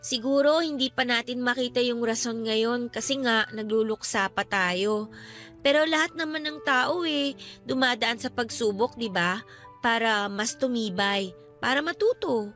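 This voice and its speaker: An actress playing a grieving young student, an earnest and faithful younger sister who speaks consolingly and with gentle insistence in a radio-style drama. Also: female voice, on the high side, unhurried. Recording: music bed behind voice.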